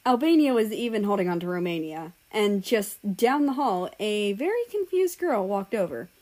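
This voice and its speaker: A woman's voice: medium pitch, very animated, moderate pace, darkish tone. A woman narrating a story expressively, in an audiobook style. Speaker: fan fiction reader.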